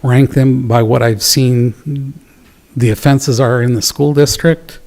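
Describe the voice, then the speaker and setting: A man presenting at a public town council meeting, talking in a measured, earnest way with fillers and pauses. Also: medium pitch, steady tone, creaky, darkish tone. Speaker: youth program presenter.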